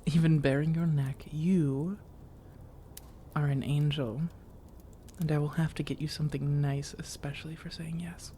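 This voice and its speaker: A woman playing a flirtatious, coaxing female vampire in a soft-spoken ASMR roleplay, teasing and measured, with intimate pauses and breathy slips into whispers. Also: low voice, steady tone, slight background noise. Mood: coaxing, affectionate. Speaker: audio roleplay performer.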